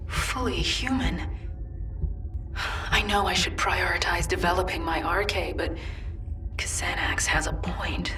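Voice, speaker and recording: Low, unsure young cyborg girl in a game, slow and hesitant, sounds set back from the mic. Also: pushed and bright, recorded from a distance. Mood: doubtful. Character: young female cyborg warrior, doubtful. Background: game music.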